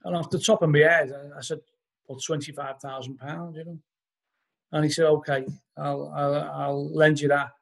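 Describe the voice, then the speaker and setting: A middle-aged man with a Liverpool accent, his voice somewhat husky and pitched a little above most men's. He rambles through his anecdotes, scattering "you know" throughout. He is talking over a laptop webcam from his living room at home. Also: level tone, normal speed. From Liverpool, England. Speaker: podcast guest, band vocalist. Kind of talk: casual storytelling interview. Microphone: laptop webcam on a video call.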